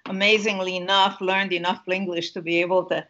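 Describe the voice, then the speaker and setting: A woman's voice: fairly low pitch, flat delivery, fairly quick, a bit bright. A woman in her seventies from Puerto Rico with a Puerto Rican accent, an author talking unhurriedly about her life on a video call from home. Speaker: author and guest. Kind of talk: conversational interview and book reading. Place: home room with a lamp. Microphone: laptop webcam video call. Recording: muffled video call audio.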